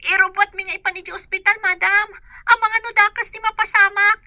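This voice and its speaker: High, bright-voiced anxious housemaid. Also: female voice, little pitch movement, fairly quick, no echo, heavily acted. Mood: panicked. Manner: polite and deferential, pleading when distressed. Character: anxious, deferential young housemaid woman, eager for work. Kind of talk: radio drama acting.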